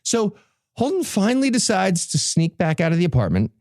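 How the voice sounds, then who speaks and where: A man's voice: high-pitched, huge pitch swings, brisk, vocal fry, a little mellow, no room sound, clean studio sound. A man hosting a comedy podcast, giving a casual, sarcastic and irreverent book recap. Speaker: podcast host presenting a book summary.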